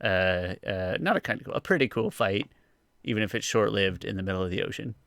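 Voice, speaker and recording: Male podcast co-host, lowish gravelly voice, dry sound, casual rambling review chat. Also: fairly quick, fairly bright tone, no echo.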